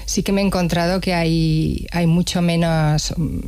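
Woman musician, interview guest, thoughtful and unhurried, dry close sound. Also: on the low side, steady tone, a little mellow, clear, resonant tone, no room sound. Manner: thoughtful, reflective, with hesitations. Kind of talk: conversational interview.

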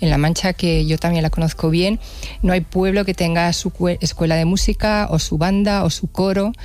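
A woman musician from Spain, speaking thoughtfully as an interview guest and pausing to search for words. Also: on the low side, fairly even intonation, darkish tone. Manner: thoughtful, reflective, with hesitations. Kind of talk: conversational interview.

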